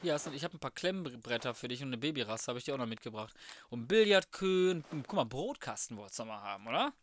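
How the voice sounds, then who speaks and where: A man's voice: mid-range voice, expressive, fast talker, fairly bright tone, a little hiss or hum. A man giving casual gaming commentary, thinking aloud in a colloquial, cheeky way. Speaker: let's player.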